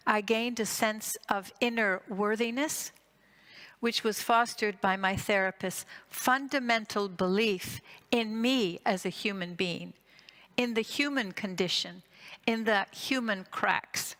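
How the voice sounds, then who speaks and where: Measured woman in her sixties, lecturing. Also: medium pitch, fairly bright tone. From Greece and Canada. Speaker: psychotherapist giving a conference talk. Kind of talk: prepared conference lecture with personal story.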